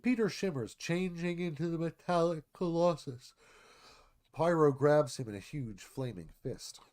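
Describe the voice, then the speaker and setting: A man's voice: a little high, a little slow, a little mellow. A man narrating a screenplay, reading action and stage directions as dramatic scripted narration.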